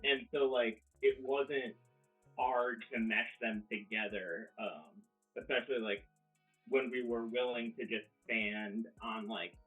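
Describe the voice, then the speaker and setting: A man's voice: medium pitch, fairly even intonation, takes it slowly. Brief: male band member, hesitant, phone-like lo-fi audio, faint music. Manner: hesitant, lots of restarts. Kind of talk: casual interview chat.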